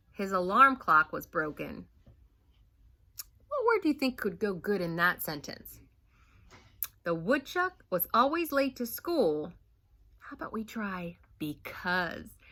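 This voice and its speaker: A bright, expressive woman reads a storybook aloud at an unhurried pace, her pitch swinging widely as she brings the tale to life. She talks like a teacher with her young class, pausing to ask simple questions. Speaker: first grade teacher. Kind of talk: reading a picture book aloud to young children.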